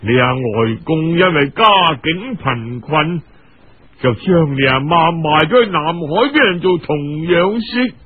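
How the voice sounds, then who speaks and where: A man voicing a kindly, emotional old uncle in Cantonese storytelling, sighing, halting and exclaiming as he speaks. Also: on the high side, lively intonation, breathy edge, phone-quality sound, voice pushed down, exaggerated voice. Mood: sorrowful, moved.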